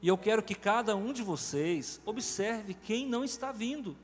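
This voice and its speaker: A man's voice: high voice, animated, normal speed. A man with a Brazilian Portuguese accent, preaching an emphatic sermon as pastor to his congregation in a large church. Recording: reverberant church hall with faint music.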